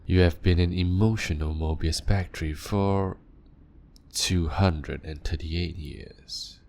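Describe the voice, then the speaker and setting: A man voicing a calm, sadistic male vampire doctor who talks slowly and silkily, with soft laughs. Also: low voice. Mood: clinical. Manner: slow, silky, toying, with soft laughs. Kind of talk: audio drama roleplay.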